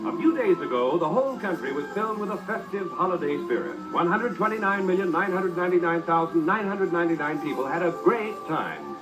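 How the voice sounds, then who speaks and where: A man's voice: high-pitched, big pitch swings, a little fast, hardly any pauses. A man announcing and narrating a 1940s radio show from a script with showy, emphatic delivery. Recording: muffled old radio recording with music.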